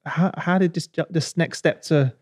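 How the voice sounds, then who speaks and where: A man's voice: a little high, normal speed, a little mellow, no room sound, studio quality. Upbeat male podcast host. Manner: upbeat, chatty, laughs often. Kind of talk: podcast interview. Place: studio.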